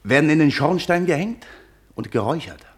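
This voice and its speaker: An actor playing a blustering, sardonic painter and husband who grumbles and curses in a radio play. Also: male voice, fairly high pitch, expressive, a little fast. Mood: sardonic grumbling. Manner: cursing, sardonic, theatrically irritable. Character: grumbling, blustering bohemian painter, a married man.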